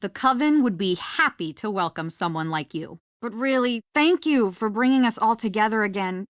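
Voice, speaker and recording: A woman playing a theatrical, welcoming coven witch in a video game, with a flattering manner. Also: medium pitch, normal speed, no echo, studio quality, close to an everyday voice. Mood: grateful.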